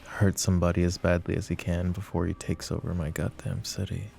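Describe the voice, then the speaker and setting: A man's voice: low voice, flat delivery, fairly quick, vocal fry, over music. A man playing a possessive, protective mafia boss in an intimate, sardonic boyfriend ASMR roleplay. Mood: tense, brooding. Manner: intimate, brooding, sighing. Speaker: voice actor.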